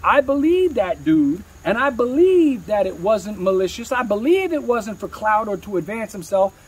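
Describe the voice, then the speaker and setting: A man's voice: high-pitched, sing-song, moderate pace, husky. A man talking candidly in an interview as a rapper, with slangy, streetwise speech peppered with swearing.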